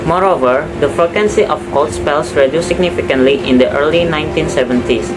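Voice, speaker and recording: Higher-voiced man narrating over loud music. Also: rough recording. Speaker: presenter. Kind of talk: scripted narration.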